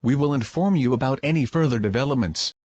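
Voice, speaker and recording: A man's synthetic voice reading an emergency broadcast in a flat, even way. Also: medium pitch, fast talker, highs cut off, over loud music. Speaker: alert announcer.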